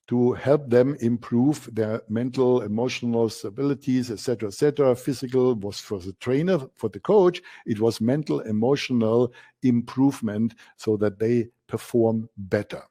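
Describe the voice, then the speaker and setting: A man's voice: medium pitch, mellow. A man from Germany with a German accent, presenting a coaching masterclass as the instructor and stressing key words by repeating them.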